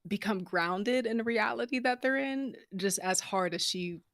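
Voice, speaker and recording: A woman's voice: mid-range voice, moderate pace, a bit bright, no room sound, studio quality. A woman who works as a voice actor, talking casually as a guest in an after-show chat.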